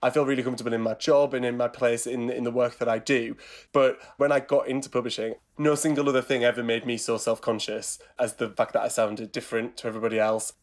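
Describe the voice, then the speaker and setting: A man speaks about his work in a reflective, candid way. His pitch sits in an ordinary middle range. He sounds like an interviewee talking things through. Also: level tone, quick pace. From Teesside, England. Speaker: trade publisher.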